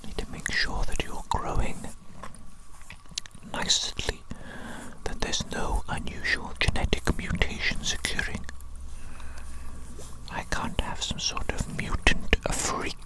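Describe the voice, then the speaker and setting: A man's voice: slow, long pauses, lightly acted, poor audio. A man playing an eccentric evil doctor in a whispered ASMR roleplay, fussily repeating words to a tiny creature in his care. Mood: fussy, intent. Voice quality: breathy, fully unvoiced whisper. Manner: fussy, repeats words and phrases obsessively.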